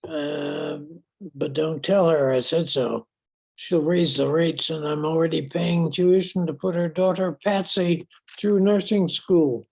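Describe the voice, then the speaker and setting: An older man playing a wealthy, reclusive uncle in an old-time radio drama, speaking haltingly. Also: on the high side, fairly even intonation, gravelly, darkish tone, breathy edge, heavily acted, poor audio. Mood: reserved.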